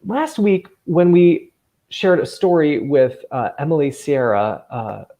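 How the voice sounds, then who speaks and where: Animated man hosting for kids, mellow voice. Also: a little high, highs cut off. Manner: animated, enthusiastic, gushing. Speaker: summer camp counselor and online host. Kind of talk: lively chatty hosting for children.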